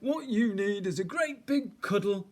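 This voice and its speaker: A woman's voice: medium pitch, lively intonation, measured pace, pitched above the performer's own voice. A woman voicing a great big, lonely, silly bear with a whiny voice in a children's storybook. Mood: eager, comforting. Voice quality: whiny, whimpering. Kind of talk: children's storybook read-aloud.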